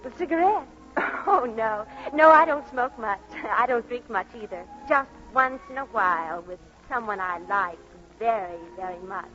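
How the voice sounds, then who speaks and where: Bright, high, giggly flirt. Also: female voice, expressive, moderate pace, faint music behind. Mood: flirtatious. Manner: giggly, coy, chatty. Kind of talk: old-time radio comedy acting. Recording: muffled old radio broadcast recording.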